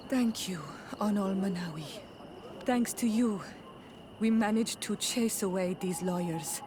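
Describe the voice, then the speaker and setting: A woman's voice: mid-range voice, noisy background, natural voice. A woman playing a proud, grieving native village leader in a video game, solemn and resolute. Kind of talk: video game cutscene acting.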